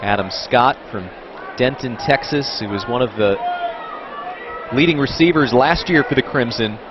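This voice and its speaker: A man calling college football play by play in a brisk, informative way. The broadcast audio is dull and muffled. A loud crowd roars behind him. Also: mid-range voice, noisy background. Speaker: play-by-play announcer.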